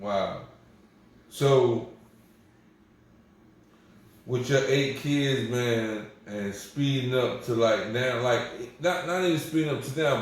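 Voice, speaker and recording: A man hosting a casual interview, playful and quick with follow-up questions. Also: medium pitch. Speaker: host. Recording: echoey room, distant from the mic.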